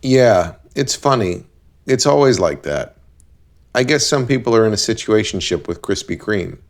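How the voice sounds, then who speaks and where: A man playing a blunt, deadpan cab driver who gives relationship advice in an animated series. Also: fairly low pitch, moderate pace. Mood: dry, explanatory.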